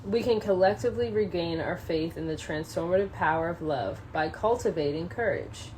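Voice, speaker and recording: Woman, slightly low voice, casual livestream, halting delivery, thinking aloud, phone recording, ambient noise behind. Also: expressive, darkish tone, poor audio. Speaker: social media live streamer. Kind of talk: casual solo livestream with passages read aloud from a book. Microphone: phone propped up in a window. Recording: clear background noise, ambient sound.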